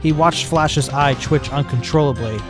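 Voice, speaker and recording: A man narrating a fan fiction story with expressive storytelling. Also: a little high, moderate pace. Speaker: fanfic reader. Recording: music bed behind voice.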